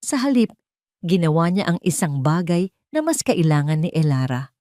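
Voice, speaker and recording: A woman's voice: deep voice, sing-song, moderate pace, mellow, dry recording, studio quality. A woman with a Filipino accent narrating a romance audio story in a scripted, dramatic storytelling style.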